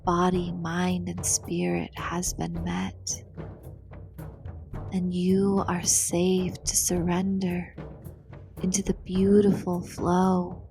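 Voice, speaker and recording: Very slow woman guiding a meditation. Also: lowish voice, gravelly, dark tone. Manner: soothing, deliberate, long pauses between short phrases. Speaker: guided meditation host. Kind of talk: guided meditation narration, slow and breathy with whispered phrase endings. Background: meditation music.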